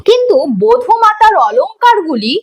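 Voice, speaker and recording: High-pitched, animated woman teaching. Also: normal speed. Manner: didactic, asks questions and answers them herself. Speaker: teacher of an exam preparation course. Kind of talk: explanatory online lesson.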